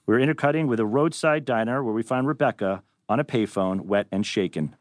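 A man's voice: medium pitch, a little fast, dry recording, studio quality. A man narrating a screenplay's stage directions at a table read. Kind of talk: animated script reading.

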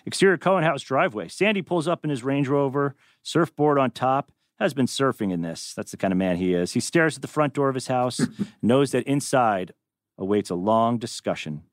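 A man's voice: medium pitch, lively intonation, normal speed, no room sound, clean studio sound. A man narrating a screenplay's stage directions at a table read. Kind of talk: animated script reading.

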